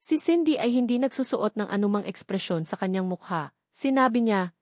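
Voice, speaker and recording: A woman's voice: medium pitch, animated, brisk, darkish tone, no room sound, clean studio sound. A woman reading a novel aloud as scripted audiobook narration.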